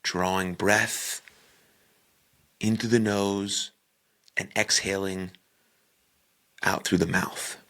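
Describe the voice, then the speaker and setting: A man leading a guided meditation in a calm, deliberate way, with a breathy, husky voice and long pauses between short phrases. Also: sits low.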